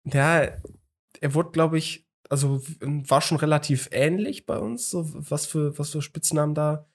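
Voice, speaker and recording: A man's voice: on the high side, normal speed. A young man in his twenties co-hosting a casual podcast chat, telling a story with many fillers and restarts, hungover. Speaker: podcast co-host.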